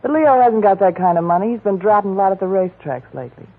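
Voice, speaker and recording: A coaxing, melodramatic femme fatale. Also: female voice, medium pitch, lively intonation, quick pace, narrow, tinny audio. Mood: pleading. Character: glamorous woman, the detective's old flame, pleading. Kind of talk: 1940s radio acting. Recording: scratchy 1940s radio broadcast.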